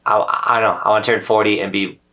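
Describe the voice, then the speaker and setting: Male co-host, thirties, reflective and earnest, somewhat low voice. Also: little pitch movement, quick pace, bright, forceful tone. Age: 30s. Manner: earnest, reflective, loses his train of thought. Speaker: podcast co-host. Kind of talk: casual chat.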